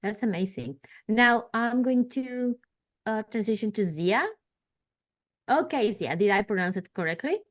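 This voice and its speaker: A woman's voice: medium pitch, lively intonation, measured pace. A woman hosting a podcast interview, enthusiastic and full of praise. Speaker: podcast host.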